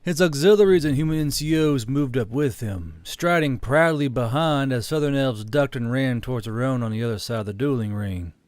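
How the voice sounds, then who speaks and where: A man's voice: medium pitch, moderate pace, few pauses, a little mellow, dry recording. A man reading scripted fiction as an audiobook narrator, close to the microphone.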